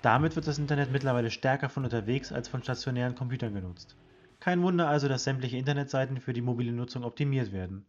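A man hosting a weekly HR news podcast, reading a scripted report in a brisk, informative way and addressing listeners directly. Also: mid-range voice, lo-fi sound. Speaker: podcast host presenting HR study results.

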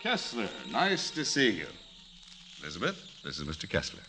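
A man's voice: fairly low pitch, huge pitch swings, normal speed, sharp tone, faint music behind, heavily acted. An actor playing a genial, composed middle-aged father and businessman in a vintage radio drama, hearty and wry. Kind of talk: 1940s-50s radio drama acting. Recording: noisy old radio broadcast.

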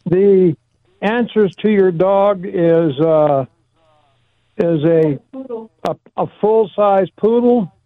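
A man's voice: high voice, no room sound. Hesitant male caller on a tinny phone line. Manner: halting, many fillers, loses his words.

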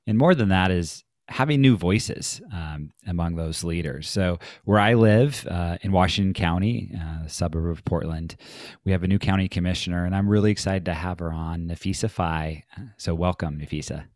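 Male podcast host, very low-pitched, easygoing and friendly, casual interview delivery. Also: moderate pace, no echo, clean studio sound. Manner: relaxed, friendly, with loose fillers. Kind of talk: conversational interview hosting.

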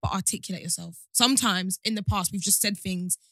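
A woman's voice: mid-range voice, a little fast, fairly bright tone, dry recording. Frank young woman co-host, dry sound. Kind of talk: casual podcast chat.

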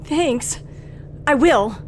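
A woman's voice: high voice, lively intonation, extremely slow, a little hiss or hum, heavily acted. An actress playing a nervous, frightened young woman driver who tells her ordeal in a breathless, suspenseful old radio thriller style. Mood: anxious. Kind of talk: old-time radio thriller acting and first-person narration. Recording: vintage radio drama with music underneath.

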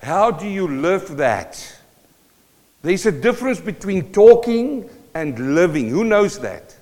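A man's voice: high voice, very animated, slow pace, a little mellow. A South African-born man preaching a sermon, emphatic and full of rhetorical questions to the congregation. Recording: long hall reverb.

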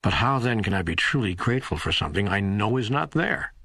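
Earnest doubting seeker in audiobook, lowish voice, lots of up and down, questioning. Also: male voice, expressive, a little fast, pushed and bright, no room sound, clean studio sound, full character voice. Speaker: audiobook co-narrator. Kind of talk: scripted audiobook dialogue, reading.

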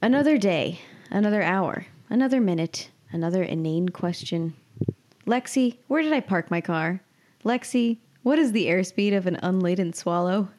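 A young woman in her twenties with a mid-pitched voice co-hosts a podcast. She sounds playful and casual, moving between self-mocking banter and reading her own comic writing. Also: lively intonation, moderate pace, a little mellow. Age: 20s. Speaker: podcast co-host and writer.

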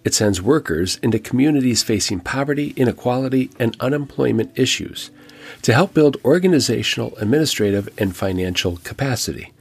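A man's voice: medium pitch, expressive, fairly quick, gravelly, husky. A man hosting a church podcast, telling a guest's story in scripted narration with a reflective, lightly joking manner. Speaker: podcast host and church pastor.

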